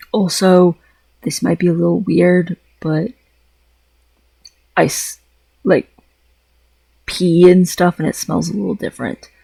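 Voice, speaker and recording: A man's voice: sits high, creaky, darkish tone, slightly rough, no room sound. A man talking in a casual, rambling vlog style, hesitant, often repeating phrases and trailing off mid-thought. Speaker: vlogger.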